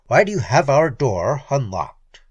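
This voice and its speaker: A man voicing an indignant, gruff male space captain who growls his words. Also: on the high side, very animated, normal speed, dry recording, full character voice.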